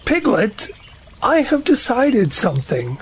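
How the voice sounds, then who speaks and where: A woman playing a slow, dreamy, honey-loving bear in an audio drama, musing and ponderous. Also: a little high, sing-song, a little hiss or hum. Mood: pondering.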